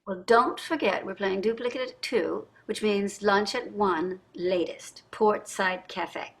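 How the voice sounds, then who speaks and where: A woman's voice: medium pitch, steady tone, slow, poor audio. An older woman playing a wealthy, weary and sardonic wife and mother in a staged play reading, pointed and dramatic in her delivery. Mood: wry.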